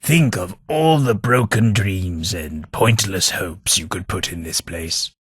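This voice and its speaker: An English actor voicing a posh, sardonic ghoul gentleman companion in a video game, with a plummy, dry delivery. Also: sits low, takes it slowly, slightly rough, no echo, full character voice. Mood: wry. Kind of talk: game voice lines.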